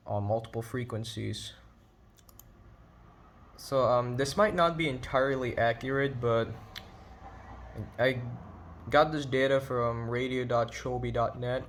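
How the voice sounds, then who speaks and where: A man narrating an informal explainer video as its creator. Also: medium pitch.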